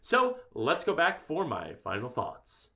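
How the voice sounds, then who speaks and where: A man with a deep booming voice giving a chatty, enthusiastic camera gear review, recorded on a changing mix of camera, lapel and wireless mics. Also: huge pitch swings, a little slow, sharp tone. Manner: enthusiastic, chatty with many fillers. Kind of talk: casual product review. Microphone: changing mics: built-in camera mic, lapel and wireless mic.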